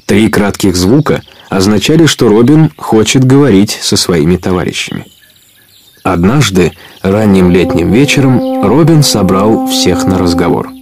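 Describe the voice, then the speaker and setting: A man's voice: lowish voice, animated, measured pace, darkish tone. A man narrating an outlaw legend as the storyteller of a radio play, in scripted storybook style. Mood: measured. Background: guitar music.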